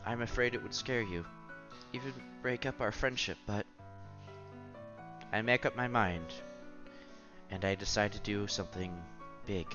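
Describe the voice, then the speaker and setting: A man's voice: lowish voice, unhurried, long pauses, highs cut off. A man streaming a visual novel, reading the text aloud with casual commentary and stumbling over words now and then. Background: game music.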